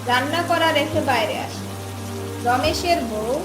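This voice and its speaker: Narrator casting: woman with a high register for scripted kids' tales, over loud music and rainfall. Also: unhurried. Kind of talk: scripted children's story narration. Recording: echoey, loud music and rain behind.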